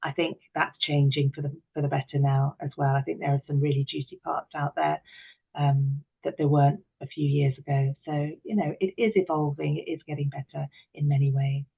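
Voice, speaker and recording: Creaky-voiced middle-aged woman, low pitch. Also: little pitch movement, fairly quick, phone-quality sound. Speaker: interview guest, actor. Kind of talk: reflective interview conversation.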